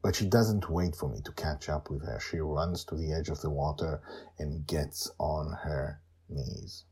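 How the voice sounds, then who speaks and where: A man's voice: very deep voice, fairly even intonation, unhurried, a little mellow, slightly rough. A man hosting and telling a story in a theatrical, emphatic way, with asides to his listeners. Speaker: storyteller and host.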